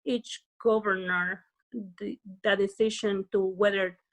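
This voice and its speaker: A woman from Peru speaking on a panel in a measured, thoughtful way. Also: mid-range voice, fairly even intonation, a bit bright, rough recording. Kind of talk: casual discussion.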